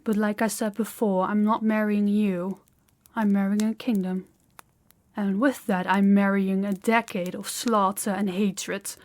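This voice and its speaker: An imperious young princess in a fantasy audio roleplay, played by a woman. She has a mid-pitched female voice and talks deliberately, with haughty condescension and an air of command. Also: lightly acted. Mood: resolute.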